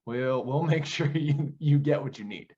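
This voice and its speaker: A man's voice: mid-range voice, unhurried, dark tone, slightly rough, muffled recording. A man giving thoughtful interview answers as a theater director, explaining things conversationally and building his points through repeated phrases.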